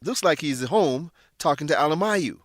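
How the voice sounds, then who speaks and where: A man hosting a radio drama for English learners, narrating from a script and putting clear questions to the listeners. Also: a little high, expressive, moderate pace, no room sound, studio quality.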